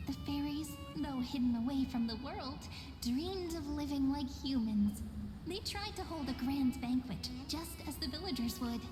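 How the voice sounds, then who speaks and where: Casting: dreamy, teasing young game heroine, high-pitched, gentle, poetic delivery with long reverb. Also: female voice, expressive, normal speed. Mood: storytelling. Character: playful, dreamy young woman, gentle storyteller. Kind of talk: video game character acting. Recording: long hall reverb under clear music.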